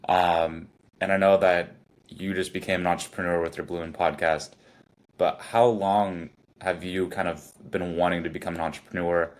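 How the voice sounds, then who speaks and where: A man's voice: low-pitched, level tone, normal speed. A man hosting a podcast interview, asking long questions padded with fillers. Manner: hesitant, filler-heavy questions. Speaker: podcast host. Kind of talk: casual interview.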